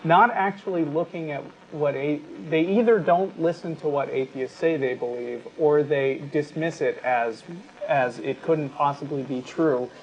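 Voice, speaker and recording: A man co-hosting an unscripted talk show, explaining his views in a measured, halting way. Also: fairly high pitch. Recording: dull lo-fi sound with clear background noise.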